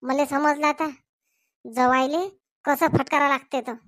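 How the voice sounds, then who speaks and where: A woman's voice: sits high, fairly even intonation, unhurried, a bit bright, no echo, studio quality. A woman voicing a protective village man in a comedy cartoon, blunt and assertive. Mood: threatening.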